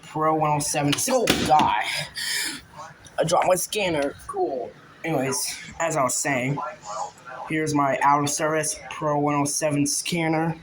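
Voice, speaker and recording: A male video host with a mid-pitched voice talks casually through a show-and-tell. He rambles without a script and drifts into vague asides. Clear background noise runs through the homemade recording. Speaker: video host showing a personal collection.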